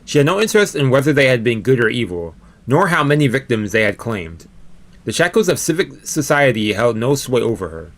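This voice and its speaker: A man's voice: mid-range voice, sing-song, brisk, a bit bright. A man live-streaming a read-aloud of a visual novel, stumbling over words now and then and dropping into casual asides. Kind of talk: live let's-read narration with casual reactions. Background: game music.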